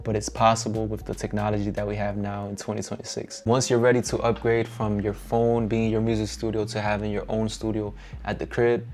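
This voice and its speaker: A young man with a Dominican accent giving a relaxed, upbeat tour of his home music studio, encouraging and slangy. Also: lowish voice, brisk, few pauses. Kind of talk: casual vlog walkthrough.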